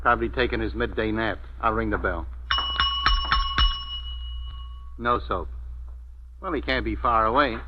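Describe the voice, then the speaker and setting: A man's voice: on the low side, brisk, natural voice. An actor playing a blunt, slangy, tough police inspector who wisecracks his way through a 1940s-style radio mystery. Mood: puzzled, impatient. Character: gruff, wisecracking, slow-on-the-uptake police inspector. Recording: muffled, noisy vintage radio recording.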